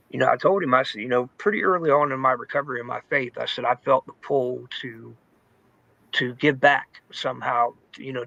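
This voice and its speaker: A man tells his story in a candid, informal way, like talking to friends. His voice is forward and bright with a creaky, gravelly grain. The sound is close and dry, if a little dull and lo-fi. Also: mid-range voice, dry recording. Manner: informal, candid, peppered with "man", "dude" and "you know". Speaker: testimony guest in recovery. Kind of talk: personal testimony, conversational storytelling.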